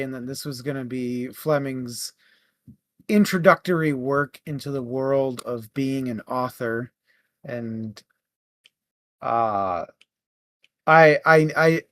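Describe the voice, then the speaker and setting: A man co-hosting a book club podcast, giving his opinion casually and haltingly, as if thinking aloud. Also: fairly high pitch, fairly bright tone, no echo. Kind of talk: casual book review talk.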